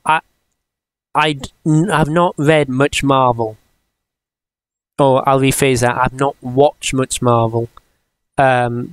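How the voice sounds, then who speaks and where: A man of twenty-one giving a casual, chatty comics review for a vlog, rambling and often halting mid-sentence. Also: medium pitch, fairly bright tone, clear, resonant tone, no echo. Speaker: vlogger.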